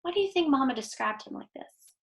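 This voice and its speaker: A woman giving an expressive, teacherly picture-book read-aloud and putting questions to young listeners. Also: high-pitched, fairly quick, slight background noise. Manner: animated, teacherly. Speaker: teacher reading aloud to children.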